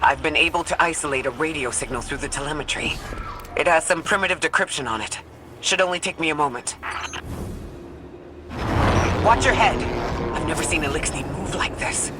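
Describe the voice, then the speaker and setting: An actor playing a calm, analytical mission guide and scientist in a video game, speaking in a measured, articulate, earnest way. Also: male voice, fairly high pitch, lightly acted. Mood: focused alert. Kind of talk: scripted game dialogue. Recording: music behind the voice.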